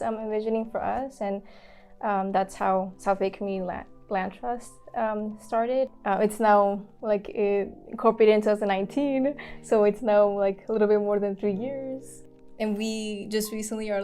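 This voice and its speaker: A woman from Mexico speaking as a community organizer in an interview, talking haltingly with many fillers and restarts. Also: mid-range voice, vocal fry, dark tone. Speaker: community land trust organizer. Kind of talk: casual interview talk.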